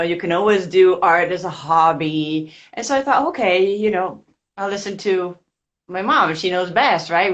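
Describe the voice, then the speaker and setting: A woman's voice: fairly low pitch, a bit bright. A woman from Spain, an artist, chatting casually in an interview over a video call and laughing often. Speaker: artist guest. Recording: dull lo-fi call audio.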